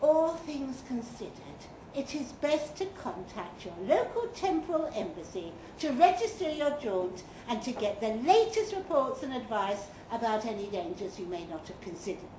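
A woman's voice: a little high, sing-song, normal speed, few pauses. A woman giving a live reading of a comic guidebook in a dry, mock-official tone with playful emphasis. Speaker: reader at a live fiction event. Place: pub function room with live audience. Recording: roomy live pub recording with noise. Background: audience laughter and room noise.

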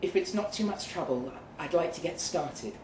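A man voicing a resolute, world-weary older woman with a smooth, emphatic delivery in a short story reading. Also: a little high, lively intonation, moderate pace, voice pushed up, close to an everyday voice. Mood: apologetic, businesslike. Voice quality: smooth-toned. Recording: roomy, distant live venue recording, faint noise.

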